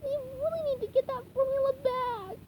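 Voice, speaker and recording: A woman's voice: very high voice, fairly even intonation, moderate pace, highs cut off, slight background noise, voice pushed up. A woman voicing a cheerful, earnest male sea sponge in a storybook reading. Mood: eager.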